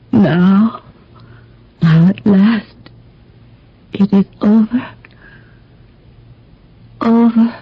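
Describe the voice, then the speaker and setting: An actress playing a bitter young daughter returned from the grave, declaiming in an anguished, accusing, theatrical radio drama style. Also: female voice, mid-range voice, breathy edge. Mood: fading, solemn. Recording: muffled old radio drama broadcast.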